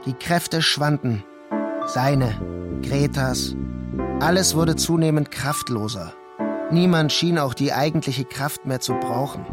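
A man reading scripted literary narration for a radio play in a measured, deliberate way. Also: medium pitch, lively intonation. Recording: prominent music under the voice. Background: music.

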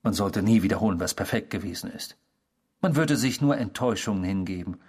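Husky, eerie obsessive storyteller. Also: male voice, fairly low pitch, animated, a little fast, darkish tone. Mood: smug, intimate. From the Netherlands (character). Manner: theatrical, savouring, unrepentant, mimicking the people quoted. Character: eerie, obsessive male stranger confessing a past crime. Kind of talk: radio drama dialogue, first-person storytelling.

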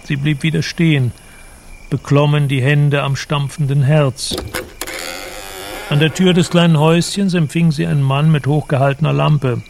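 A man narrating a literary radio drama in a measured, descriptive manner. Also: on the high side, steady tone, vocal fry, darkish tone, a little hiss or hum. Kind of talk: literary scripted narration in German.

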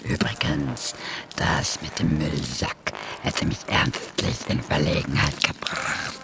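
Deep, gloating she-devil. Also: very animated, takes it slowly, gravelly, heavy background noise, exaggerated voice. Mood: mocking. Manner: mocking, insolent. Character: gloating demonic she-devil in possession, mocking and crude. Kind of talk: radio drama acting.

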